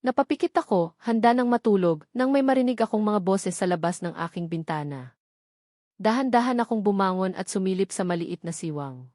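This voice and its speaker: A woman's voice: mid-range voice, lively intonation, a little fast, dark tone, no room sound, studio quality. A woman reading a scripted first-person dramatic story as its narrator.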